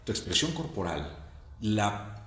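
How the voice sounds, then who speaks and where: A man with a Mexican Spanish accent hosting a conversational interview, speaking in a measured way with pauses between phrases. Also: lowish voice, very animated, fairly bright tone. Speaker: interviewer, show host. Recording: echoey room, faint background noise.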